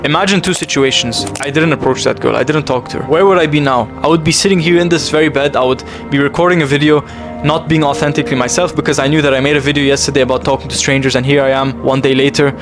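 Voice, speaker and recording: A man's voice: on the high side, steady tone, fast talker, music in the background. A young man speaking earnestly to camera from his bedroom, intense and repeating phrases for emphasis, calling the listener bro. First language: English. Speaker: self-improvement vlogger. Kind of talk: emotional confessional monologue to camera.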